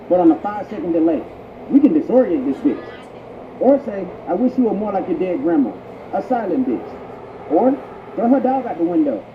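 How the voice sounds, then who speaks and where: Man, high voice, profane slangy mock tutorial, echoey, background noise. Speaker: prank tutorial narrator. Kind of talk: crude comedic mock tutorial monologue. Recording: echoey, muffled, noisy intercom playback. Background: public transit ambience.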